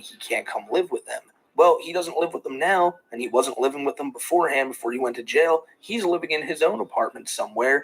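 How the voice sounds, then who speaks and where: A man with a husky, slightly breathy voice giving sarcastic commentary. He runs down a streamer's claims in a critical, mocking tone. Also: medium pitch, rough recording. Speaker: commentary channel host. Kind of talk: reaction commentary.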